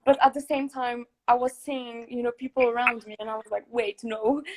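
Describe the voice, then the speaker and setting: A young woman from Morocco hosting a casual interview over a video call, chatty and quick to laugh. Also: on the high side, flat delivery, rough recording. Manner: chatty, laughs often, many fillers. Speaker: podcast host.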